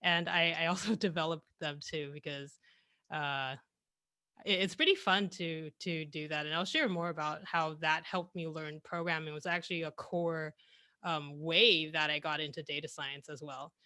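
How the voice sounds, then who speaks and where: Young woman, bright voice, dry sound, headset on a video call, chatty, self-correcting. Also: deep voice, moderate pace, no room sound. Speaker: guest speaker. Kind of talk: presenting over a video call.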